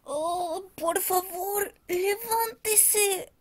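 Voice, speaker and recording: A woman's voice: very high-pitched, drawn-out words, voice pushed up, heavily acted. A woman voicing an earnest, anxious teenage superheroine in a pleading, dramatic manner.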